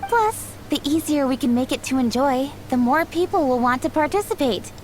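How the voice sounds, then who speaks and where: A woman's voice: high-pitched, expressive, moderate pace, soft background music. A woman voicing a poised, courteous female official in an anime game, formal and articulate. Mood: explaining.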